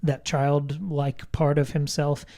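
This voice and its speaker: A man with a soft-edged, mellow voice talks through a book as a podcast co-host. He is reflective and articulate, in a relaxed conversational register. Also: a little high, takes it slowly.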